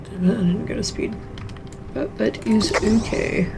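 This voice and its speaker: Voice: mid-range voice, steady tone, a little slow, gravelly, background noise. A streamer muttering through a game in casual commentary, with the odd curse. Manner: mutters to self, casual swearing.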